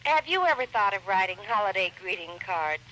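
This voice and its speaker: Bright, coy radio sweetheart. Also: female voice, medium pitch, sing-song, brisk, a little hiss or hum, poor audio. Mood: coy, teasing. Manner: arch, coy, teasing. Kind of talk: 1940s radio acting.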